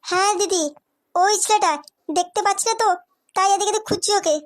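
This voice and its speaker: A woman's voice: extremely high pitch, steady tone, fast. A woman voicing a coy, hesitant, lovestruck younger sister in a comic cartoon.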